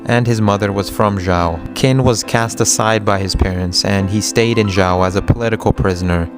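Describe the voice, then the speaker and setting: A man reading a scripted story recap as narrator, with guitar music behind him. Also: low-pitched.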